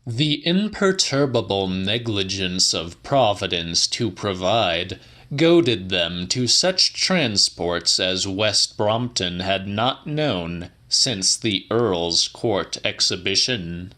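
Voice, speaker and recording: A man narrating a literary audiobook in a dramatic, theatrical, deliberate manner. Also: low voice, expressive, bright, forceful tone.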